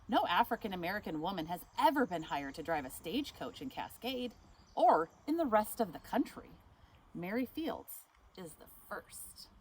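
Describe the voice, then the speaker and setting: A woman reading a picture book aloud, expressive, stopping now and then to ask the listener questions. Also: mid-range voice, moderate pace, a little hiss or hum. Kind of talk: read-aloud storytime.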